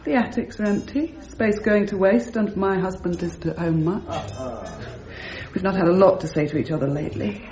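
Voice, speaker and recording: Prim, probing landlady, mid-pitched actress. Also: moderate pace, a little mellow, dull audio, close to an everyday voice. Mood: tentative, inviting. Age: 30s. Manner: prim, insistent, composed, articulate. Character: prim, probing married landlady in her thirties. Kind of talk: radio drama acting.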